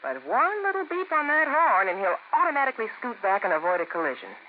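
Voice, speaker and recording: A thin, telephone-like vintage recording with faint noise. a perky, coaxing wife in a radio skit, her pitch lively and sweetly persuasive. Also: quick pace. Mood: cheerful, coaxing. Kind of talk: 1950s radio safety spot, domestic skit.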